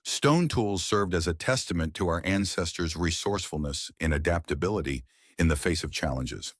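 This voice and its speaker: A man's voice: sits low, expressive, normal speed, husky, dry recording, clean studio sound. A man giving a scripted model reading of an academic passage for test practice. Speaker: test prep model reader.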